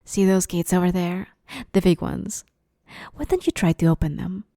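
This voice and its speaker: A sadistic vampire woman, played by a female ASMR performer, toys with her captive in a lowish, mellow voice. She is teasing and falsely sweet, with menace under every phrase. The sound is close and dry. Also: steady tone, normal speed, dry recording, natural voice. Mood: mocking, amused. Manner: teasing, mockingly sweet, menacing, often hushed. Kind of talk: ASMR roleplay, dramatic monologue.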